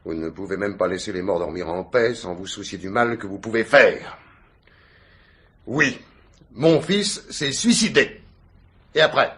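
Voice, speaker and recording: A man's voice: fairly low pitch, lively intonation, a little slow, long pauses, fairly bright tone. An actor playing a stern, bereaved bourgeois father in a French radio mystery, sardonic and indignant. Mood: bitter, indignant. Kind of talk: French radio play acting.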